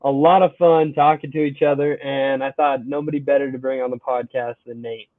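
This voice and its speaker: A man's voice: mid-range voice, fairly quick, no echo. A young man hosting a college sports podcast in a casual, upbeat way. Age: young adult. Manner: upbeat, energetic intro then relaxed. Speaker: podcast host. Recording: tinny phone-like line, dry.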